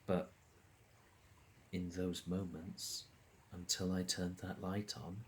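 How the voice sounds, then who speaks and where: Deep, slow, haunted young male lead for a film drama, halting and brooding, played by a man; faint background noise. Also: little pitch movement. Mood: bleak, haunted. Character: anguished young man losing his colour vision. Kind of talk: short film drama acting, introspective monologue.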